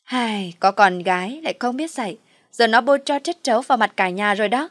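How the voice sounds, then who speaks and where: A woman's voice: mid-range voice, brisk, dry recording, lightly acted. A woman voicing a scheming pregnant wife who scolds or coaxes with calculation in an audio story. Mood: scornful, scolding.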